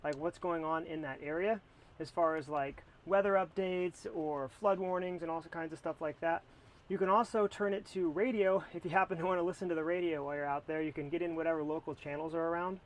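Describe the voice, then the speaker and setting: Higher-pitched American man giving a product review. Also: fairly even intonation, a little fast, low-quality recording. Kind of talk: casual product review to camera.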